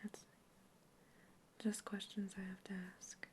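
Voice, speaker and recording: Softly whispering woman, flat delivery. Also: mid-range voice, takes it slowly. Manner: soft unvoiced whispering, gentle questions. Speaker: ASMR artist playing an examiner. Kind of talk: whispered sci-fi ASMR roleplay.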